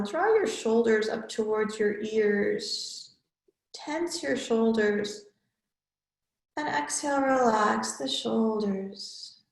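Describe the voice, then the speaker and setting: A woman yoga teacher leading a guided relaxation in measured, instructional short phrases with pauses between them. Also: medium pitch, creaky, reverberant.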